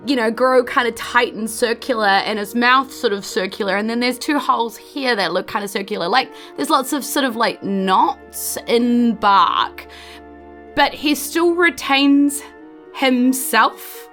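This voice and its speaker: A woman with a New Zealand accent, improvising narration as game master of a tabletop game, with halting, drawn-out phrasing. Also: a little high, fairly bright tone, soft background music.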